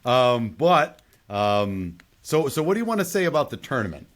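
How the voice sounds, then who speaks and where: A male podcast host with an average-pitched voice. He talks casually about film in a conversational style and passes questions on to the other speakers. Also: sing-song, normal speed.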